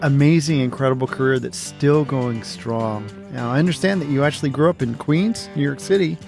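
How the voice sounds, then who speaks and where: A man's voice: medium pitch, brisk, creaky, over music. A man hosting an interview casually, with an enthusiastic, admiring manner. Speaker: interview host.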